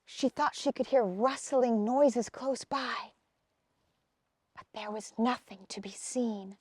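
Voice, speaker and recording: A woman's voice: on the high side, takes it slowly, a little mellow, slightly rough, no echo, studio quality. A woman narrating a children's picture book expressively, as a storybook reader.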